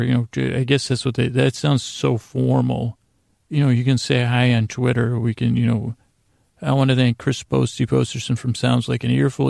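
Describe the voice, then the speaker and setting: A man hosting a sleep podcast in a rambling bedtime monologue, halting and meandering, repeating himself and trailing off mid-thought. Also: mid-range voice, creaky, darkish tone, dry recording, clean studio sound.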